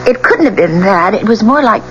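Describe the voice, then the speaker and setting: A woman's voice: mid-range voice, animated, fairly quick, lightly acted. An actress playing a guilt-ridden, emotional young woman with hesitant delivery in a 1970s radio drama. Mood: subdued, reluctant. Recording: muffled, hissy 1970s radio recording.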